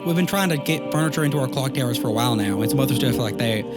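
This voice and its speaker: A man's voice: mid-range voice, lively intonation, racing. A man playing in a tabletop game, improvising casually in a tentative, explanatory way. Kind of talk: casual improvised role-play. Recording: prominent music under the voice.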